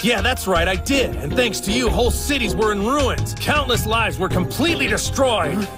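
A man's voice: high-pitched, expressive, normal speed, over music, voice pushed up. A man voicing an earnest, angry martial-arts hero in an anime fan dub. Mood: grave anger.

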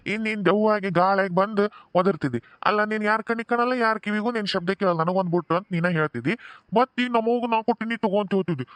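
A man's voice: sits high, rapid-fire, a bit bright, no room sound. Man playing a scolding ghost father. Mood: teasing. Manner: lecturing, self-praising. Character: ghost of a dead husband, boastful and scolding.

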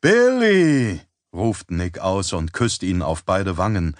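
A man reading an audiobook as narrator in a measured, even storytelling manner on a close studio mic. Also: low voice, dry recording. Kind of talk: scripted narration.

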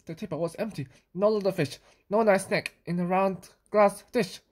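A woman reading a children's picture book aloud, sometimes halting and repeating phrases. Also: mid-range voice, a little mellow. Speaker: storybook reader.

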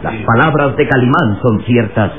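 A man's voice: medium pitch, normal speed, background noise, natural voice. A man narrating an adventure radio serial in a dramatic, declamatory way. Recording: tinny old radio recording with music.

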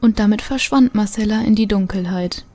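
Lady narrating a radio play, soft mellow tone, bit of music under it. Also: medium pitch, fairly quick. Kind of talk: scripted audio drama narration.

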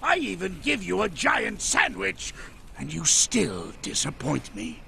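An actor playing a pompous middle-aged mad scientist villain in a game cutscene, scolding theatrically over loud music. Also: male voice, high-pitched, wildly swooping pitch, unhurried, gravelly, slight background noise, full character voice. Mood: bitter scolding. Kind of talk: animated game cutscene acting. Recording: loud music behind the dialogue.

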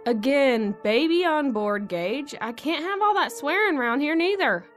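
A woman's voice: high-pitched, animated, measured pace, faint music behind. An actress playing a bossy, blunt, rustic woman in an audio drama. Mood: scolding. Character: bossy, blunt pregnant woman living rough.

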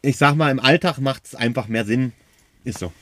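A man's voice: mid-range voice, normal speed, fairly bright tone. Guy in a van, hyped up, slangy casual chat to viewers. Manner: enthusiastic, slangy, talks straight to viewers. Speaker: camping vlog co-host. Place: inside a camper van.

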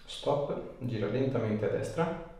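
A man working as a chiropractor, giving short, gentle instructions and explanations to a patient. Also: mid-range voice, measured pace. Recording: echoey room, distant mic, background noise.

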